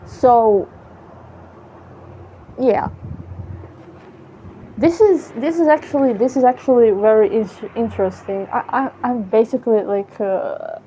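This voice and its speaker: A woman with a Serbian accent musing aloud about life in a halting, self-correcting way, swearing casually now and then. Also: a little high, mellow, slight background noise. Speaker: vlogger. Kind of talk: rambling philosophical musing. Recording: muffled lo-fi audio.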